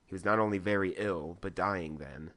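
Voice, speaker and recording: An honest husband from a classic storybook, played by a man. His voice is fairly low, and he talks in a measured, earnest, formal way. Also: a bit bright. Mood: grave. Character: relieved, honest indebted husband. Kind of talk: dramatised audiobook reading.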